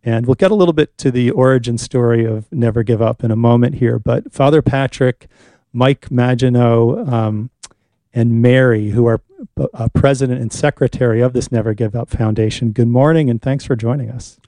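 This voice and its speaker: A man hosting a live radio talk show from the studio, relaxed and chatty, with many pauses and fillers. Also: medium pitch, no room sound.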